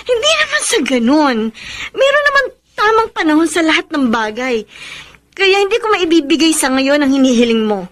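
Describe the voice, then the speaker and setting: A woman's voice: high-pitched, sing-song, normal speed, a bit bright. An actress playing a torn, principled young Filipino woman in love, with emotional, pleading delivery in a radio drama.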